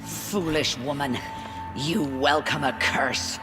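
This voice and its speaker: Bitter, zealous old matriarch. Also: female voice, low-pitched, slow. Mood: scornful, alarmed. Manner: accusing, zealous, formal. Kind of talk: video game voice acting. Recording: faint music behind the voice.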